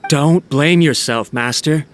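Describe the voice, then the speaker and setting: A man's voice: fairly high pitch, slow pace, faint music behind. A man playing a loyal, worried attendant in a video game, earnest and deferential. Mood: concerned. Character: loyal, worried male attendant to a young lord.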